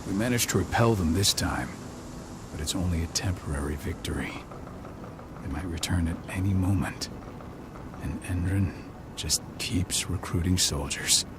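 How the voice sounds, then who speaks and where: A man playing a stern, weary pack leader in a video game, speaking gravely and with measured authority. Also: deep voice, noisy background, close to an everyday voice. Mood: grim. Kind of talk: video game cutscene acting. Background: music.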